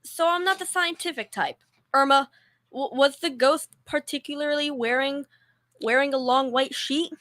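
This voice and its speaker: A woman's voice: sits high, moderate pace, a bit bright. A young woman playing a sensible, exasperated roommate with nerves underneath, in old-time radio comedy style. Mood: nervous, earnest.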